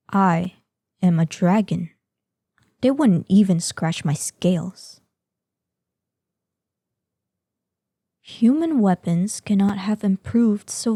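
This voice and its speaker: A woman acts out an ancient dragoness for a fantasy audio drama, proud and imperious. The voice is very dark and soft-edged, recorded close and dry. Each formal, archaic phrase comes slowly and with measured weight. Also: medium pitch, no echo, natural voice. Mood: proud, defiant. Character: proud ancient female dragon in human form. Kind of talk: scripted roleplay audio drama monologue.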